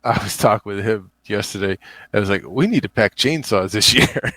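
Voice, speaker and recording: A man's voice: fairly low pitch, animated, moderate pace, a bit bright. An American man chatting on a podcast in a laid-back, informal way. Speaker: podcast co-host or guest. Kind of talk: casual conversational podcast chat.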